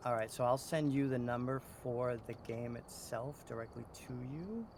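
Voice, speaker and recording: A man's voice: medium pitch, moderate pace, vocal fry, background noise. A man co-hosting a podcast, chatting casually and informally with enthusiasm. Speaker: podcast co-host. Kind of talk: casual chat about horror games.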